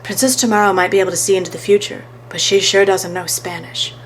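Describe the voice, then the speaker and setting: A woman's voice: medium pitch, fairly even intonation, fast, a little hiss or hum, natural voice, low-quality recording. A woman voicing an observant boy sidekick detective in a children's storybook. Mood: confiding.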